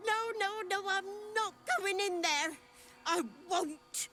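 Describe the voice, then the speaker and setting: A woman's voice: very high voice, slow pace, pushed and bright, soft background music, exaggerated voice. A woman acting a frightened but defiant female prisoner in a video game. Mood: panicked, defiant.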